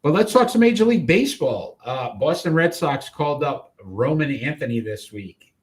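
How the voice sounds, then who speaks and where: Chatty Rhode Island sports host. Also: male voice, on the high side, lively intonation, moderate pace. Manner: chatty, trailing off and restarting. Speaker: sports talk show host. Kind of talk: live sports talk show chat.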